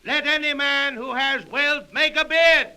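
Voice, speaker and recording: High, sharp-voiced, grandiose Roman guard auctioneer. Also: male voice, steady tone, unhurried, exaggerated voice. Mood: proclaiming. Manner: declamatory, theatrical oratory. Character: Praetorian Guard officer auctioning the Roman Empire, grandiose and goading. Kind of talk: 1930s radio drama acting. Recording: old 1930s radio recording.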